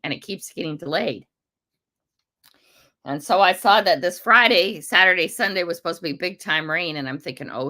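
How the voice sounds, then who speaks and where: An older American woman hosting a casual livestream, greeting viewers by name and rambling along, her voice rough and congested from a cold. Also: deep voice. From United States. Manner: chatty, rambling, warm greetings to commenters. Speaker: livestream host.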